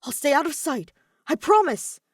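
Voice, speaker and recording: A woman voicing an anxious, evasive teenage boy in an audio story, earnest and hurried in his reassurances. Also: high-pitched, very animated, no echo, studio quality, voice pushed up. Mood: urgent reassurance.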